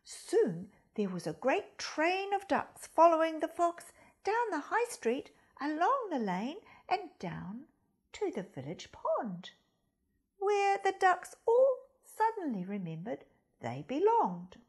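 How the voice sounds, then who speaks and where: A woman reading a children's story aloud as a school storyteller, expressive and deliberate, speaking directly to young listeners. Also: very high-pitched. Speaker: storytime reader at a primary school.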